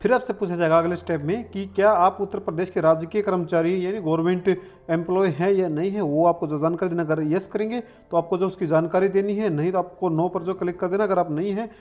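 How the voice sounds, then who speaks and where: High-pitched man giving instructions. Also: steady tone, quick pace, a little mellow. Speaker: online form tutorial presenter. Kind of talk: step-by-step screen tutorial narration.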